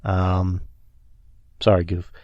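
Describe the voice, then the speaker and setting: A man's voice: low voice, monotone, takes it slowly, no room sound, highs cut off. A man co-hosting a podcast Q&A in easygoing chat, joking and rambling with many fillers. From Texas. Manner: joking, loose, full of fillers. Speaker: podcast co-host.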